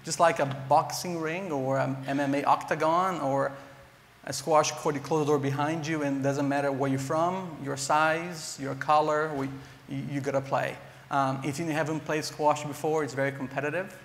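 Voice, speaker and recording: Brazilian man, halting, echoey hall. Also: fairly high pitch, fairly even intonation, a little hiss or hum. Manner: earnest, halting, frequent ums and restarts. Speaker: panelist, nonprofit director. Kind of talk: conference panel talk. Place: large conference hall stage. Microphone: lapel mic. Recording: echoey conference hall reverb.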